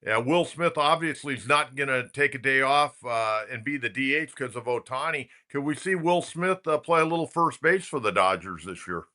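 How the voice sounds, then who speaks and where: A man's voice: mid-range voice, lively intonation, moderate pace, hardly any pauses, bright, forceful tone. A man hosting a sports talk show, speaking in a direct, colloquial, conversational way.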